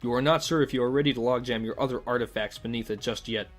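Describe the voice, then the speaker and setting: A man's voice: medium pitch, quick pace, soft background music. A man reading scripted comic narration in the second person, with a wry, mock-formal manner.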